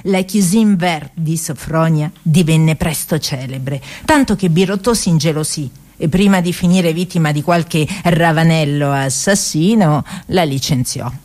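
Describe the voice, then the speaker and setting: A woman's voice: deep voice, measured pace, few pauses, darkish tone. A woman performing a tragicomic story for radio, narrating dramatically with emphatic delivery and relish for each word. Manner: dramatic, emphatic, savouring lists of words. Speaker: stage actress and narrator.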